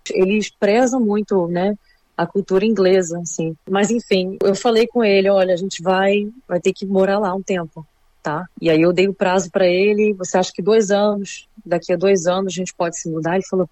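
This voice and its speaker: A woman's voice: mid-range voice, dark tone, dry recording. A Brazilian woman being interviewed, talking reflectively and with feeling, full of little fillers. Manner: reflective, emotional, many fillers like "assim" and "sabe". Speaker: interviewee, returning immigrant. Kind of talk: casual conversational interview.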